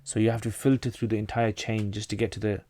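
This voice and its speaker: A man's voice: on the low side, level tone, quick pace, warm tone. A man from North Pakistan, a native Pashto speaker, hosting a casual livestream Q&A. He reads out viewer questions and answers them plainly, often restarting his sentences.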